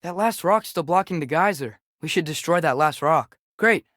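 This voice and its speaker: A man's voice: fairly high pitch, animated, moderate pace, fairly bright tone, no room sound, studio quality. A young male actor with an American accent voicing an earnest, curious teenage boy adventurer in a video game, speaking in a casual, questioning way. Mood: curious, suggesting. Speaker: video game companion character. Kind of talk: scripted game dialogue, conversational.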